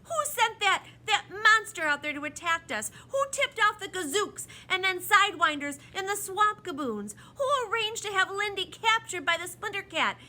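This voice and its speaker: A woman's voice: very high-pitched, animated, normal speed, hardly any pauses, bright, forceful tone, voice pushed up, full character voice. A woman voicing a hot-tempered, fiercely loyal young boy in a storybook, emotional and defiant. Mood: furious.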